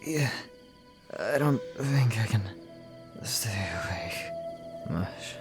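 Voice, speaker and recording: A man playing a weary, wounded young swordsman in a fantasy audio drama, soft-spoken, halting and quietly affectionate. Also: mid-range voice, wildly swooping pitch, heavily acted. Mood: exhausted, fading. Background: prominent music.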